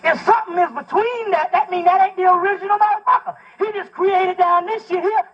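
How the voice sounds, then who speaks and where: A man with a very high-pitched voice delivers a fiery, informal lecture. He reads from a text and breaks it down emphatically, swearing freely and throwing out rhetorical questions like a preacher. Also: fairly even intonation, fairly quick, fairly bright tone, muffled recording.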